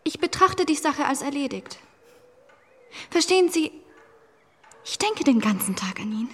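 A young woman of old Paris in a radio drama, high-voiced and hopelessly in love. She confides candidly, often hushed, with a stubborn defiance underneath. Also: big pitch swings, measured pace, heavily acted. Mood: wry, guarded. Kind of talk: German radio drama acting. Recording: clean studio radio drama.

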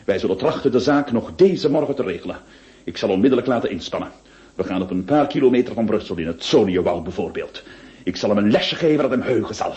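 An actor playing a self-assured, impatient notary in a radio drama, declamatory and decisive. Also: male voice, fairly low pitch, lively intonation, fast talker, a little mellow, lightly acted. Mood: resolute, irritated. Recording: dull, muffled radio play recording.

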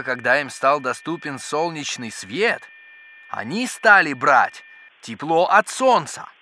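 An actor playing an excitable, pedantic scientist in a children's educational audio drama, with theatrical, exclamatory delivery. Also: male voice, a little high, slight background noise, heavily acted. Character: excitable, bookish male scientist, absorbed in microbes. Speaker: scientist character.

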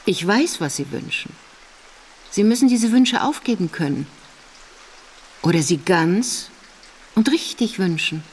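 A woman's voice: mid-range voice, animated, a little slow, long pauses, darkish tone, in a large hall, slight background noise, close to an everyday voice. An actress playing a mysterious, serene and commanding mature woman in a radio drama, speaking with solemn deliberation. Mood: solemn, assured. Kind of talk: German literary radio drama acting.

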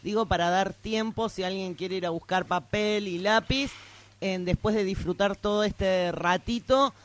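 A woman's voice: mid-range voice, measured pace. A woman with an Argentine accent hosting an informal live radio chat in a studio. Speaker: radio host.

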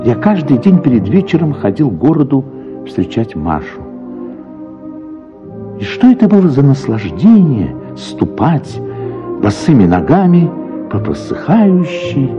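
A man reading a first-person literary story for radio in an expressive, reflective manner, with drawn-out words and pauses. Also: mid-range voice. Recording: slightly dull radio sound, music behind. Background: soft music.

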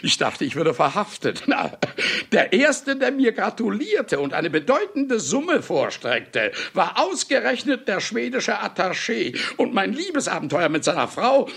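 Boastful old writer in a radio play, cynical, theatrical, raspy, pitch all over the place. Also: male voice, big pitch swings, unhurried, a bit bright, exaggerated voice. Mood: boastful, commanding. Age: older. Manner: grandiose, cynical, declamatory. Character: boastful ageing famous male writer confessing to murders.

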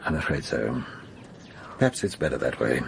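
An actor playing a shrewd, bulky chief inspector in a British radio drama, with an English accent and a gruff, knowing manner. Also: male voice, very deep voice, very animated, moderate pace, a little hiss or hum, exaggerated voice. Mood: grave, subdued. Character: shrewd, bulky male police chief inspector, blunt and assured.

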